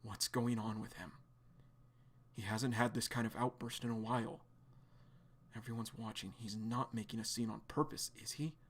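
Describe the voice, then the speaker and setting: A man's voice: on the low side, steady tone, moderate pace, lightly acted. A man voicing a tired, stern young man who gives orders. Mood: weary. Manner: weary, commanding.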